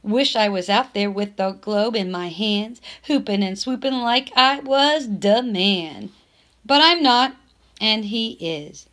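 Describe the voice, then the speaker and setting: A woman reading a children's novel aloud in expressive narration, with measured phrasing and emphatic stresses. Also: medium pitch. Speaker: teacher reading a book aloud.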